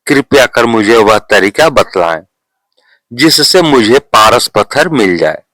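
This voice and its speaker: A man's voice: medium pitch, very animated, slow, fairly bright tone, no echo, full character voice. A man voicing an eager, gullible villager in a storybook tale, respectful and pleading. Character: eager, gullible male villager seeking a magic stone.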